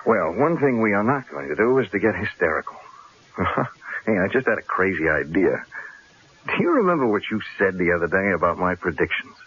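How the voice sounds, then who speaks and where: Radio drama lead: worried, wry columnist, very low voice, slightly rough, measured. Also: male voice, sing-song, a bit bright, heavily acted. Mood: grimly composed. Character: wry, worried male newspaper columnist facing a death threat. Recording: muffled old radio drama recording.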